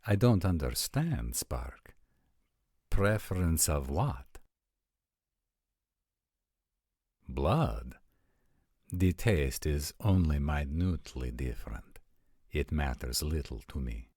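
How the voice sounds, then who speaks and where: Man playing a very deep, courtly vampire prince. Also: sing-song, a little mellow, husky, no room sound, studio quality, full character voice. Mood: calm, puzzled. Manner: formal, deliberate, intimate phrasing. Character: courtly, measured male vampire prince, softly amused. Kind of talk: fantasy audio roleplay.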